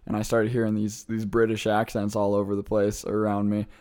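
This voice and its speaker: A young man from the American Midwest talks eagerly about his travels, chatty and quick to laugh. His voice is low and mellow, without any bright edge. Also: monotone, smooth. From Midwest United States. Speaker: guest, returned missionary. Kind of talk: casual interview answers.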